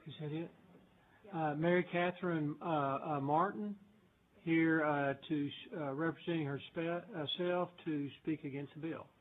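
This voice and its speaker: A man chairing a committee hearing who speaks in a halting, hesitant way. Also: on the high side, fairly even intonation. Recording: tinny, distant audio with faint hiss.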